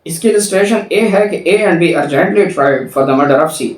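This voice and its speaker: A man's voice: fairly high pitch, slow pace. Male Pakistani lecturer, explanatory and didactic, English terms mixed in; recorded away from the mic in an echoey room. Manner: didactic, alternating between Urdu explanation and English legal terms. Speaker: law lecturer. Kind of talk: explanatory lecture on legal articles. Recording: echoey room, distant microphone.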